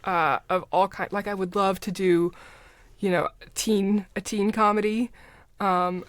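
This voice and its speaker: A woman's voice: mid-range voice, fairly even intonation, measured pace, no echo. A middle-aged woman talking casually as a guest in an interview about her creative work. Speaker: podcast guest, audio drama writer and producer.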